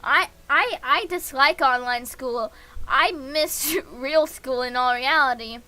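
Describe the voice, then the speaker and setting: A woman livestreaming a game in a casual, excitable way, reading the text aloud and reacting with giggly gushing. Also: high-pitched, slow pace.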